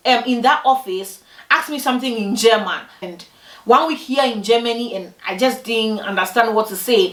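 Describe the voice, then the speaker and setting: A young woman from Ghana telling personal stories to camera in a lively way, acting out what other people said. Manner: animated, acts out quoted people with big pitch jumps. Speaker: vlogger. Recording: echoey room, voice from a distance.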